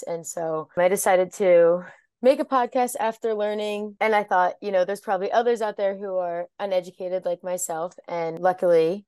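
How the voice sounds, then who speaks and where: A woman's voice: on the low side, darkish tone, clean tone, no room sound. A young woman in her twenties hosting a podcast, talking earnestly and casually with some fillers and self-corrections. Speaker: podcast host.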